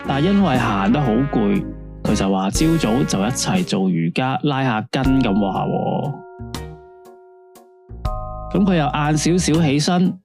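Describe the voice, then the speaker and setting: An actor as a late-night radio host man with a Hong Kong Cantonese accent, chatting at an unhurried pace. He is easygoing, playful and inviting, with music under his voice. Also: medium pitch, expressive, vocal fry. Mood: cheerful, chatty. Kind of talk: late-night radio phone-in hosting, radio drama.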